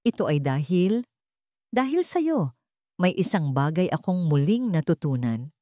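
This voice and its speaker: A woman's voice: fairly low pitch, very animated, unhurried, mellow, no echo. Wealthy romantic lead played by a woman: desperate, apologetic, earnest pleading for an audio love story, dry recording.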